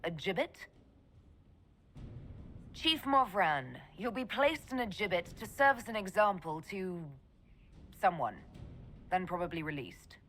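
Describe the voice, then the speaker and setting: A woman's voice: medium pitch, expressive, measured pace, long pauses, a bit bright, a little hiss or hum. Dry, authoritative woman mage commander. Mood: dry, flippant. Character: sharp, dry elven woman mage leading an army. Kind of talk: scripted video game dialogue.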